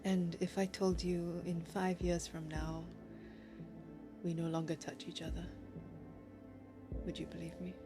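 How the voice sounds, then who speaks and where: A woman's voice: lowish voice, level tone, a little slow, pauses often, close to an everyday voice. Woman playing a sad possessive lover, poetic and confessional, audio drama with music behind. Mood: quietly wounded. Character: melancholy, possessive heartbroken lover, an actor by trade. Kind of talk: intimate audio drama monologue and dialogue.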